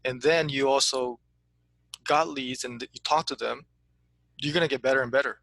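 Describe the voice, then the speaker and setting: A man's voice: mid-range voice, fairly even intonation, moderate pace, gravelly, fairly bright tone, no room sound, poor audio. A man coaching an insurance agent in a casual consulting chat, eager and full of restarts. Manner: eager, repeats words when starting, says "to be honest with you".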